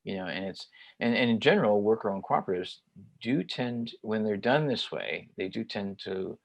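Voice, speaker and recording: Halting, low-voiced male host. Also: animated, no echo. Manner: halting, searching for words. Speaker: show host. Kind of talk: conversational interview.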